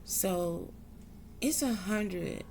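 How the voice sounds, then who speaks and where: A woman's voice: mid-range voice, very slow, vocal fry, music in the background. A woman from South Carolina, talking earnestly and unhurriedly in a reflective vlog monologue. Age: adult. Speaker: vlogger.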